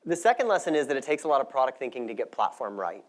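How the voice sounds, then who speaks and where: Male conference speaker, medium pitch, thoughtful and conversational, explaining ideas to an audience. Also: very animated, racing.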